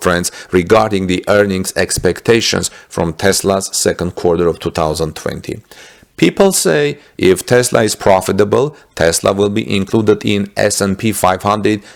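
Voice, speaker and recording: A man presenting business news, reading from a script with asides and sometimes halting over his words. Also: on the low side, creaky. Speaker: host reporting business news.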